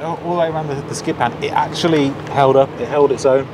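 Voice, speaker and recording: A man's voice: mid-range voice, steady tone, fast talker. A man chatting casually as he takes part in a tech demonstration. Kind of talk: casual chat. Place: racing circuit, in cars and outdoors. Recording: noisy car and outdoor ambience.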